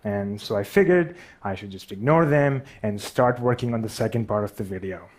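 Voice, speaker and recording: A man's voice: lowish voice, moderate pace, darkish tone. A man with a Pakistani accent telling a personal story, a speaker who stutters and pokes fun at himself. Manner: stutters, self-deprecating. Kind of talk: storytelling talk.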